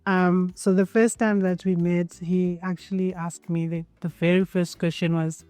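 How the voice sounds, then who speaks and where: A woman from Zimbabwe with a Zimbabwean accent, telling her story calmly in a documentary interview. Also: on the low side, moderate pace, no room sound, soft background music.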